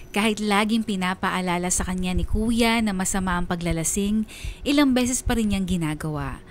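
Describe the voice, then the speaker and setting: A woman's voice: medium pitch, slight background noise, lightly acted. An actress playing a sorrowful daughter who earnestly narrates her family's story in a radio drama. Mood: sorrowful, uneasy.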